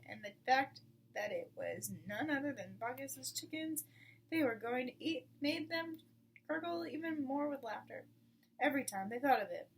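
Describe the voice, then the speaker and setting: A woman reading a children's book aloud as the narrator. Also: high-pitched. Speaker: storybook reader. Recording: distant mic with clear background noise.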